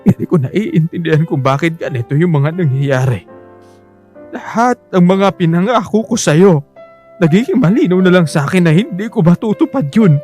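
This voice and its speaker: An actor in a radio drama playing a desperate, bitter husband weighed down by debt, speaking haltingly. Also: male voice, high-pitched, animated, warm tone, faint music behind. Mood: despairing. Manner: halting, sarcastic, despairing.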